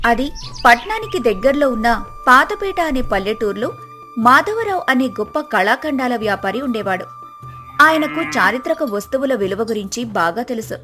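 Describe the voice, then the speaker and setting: Female storyteller, very high pitch, scripted moral-tale narration over faint music. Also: big pitch swings, moderate pace, sharp tone.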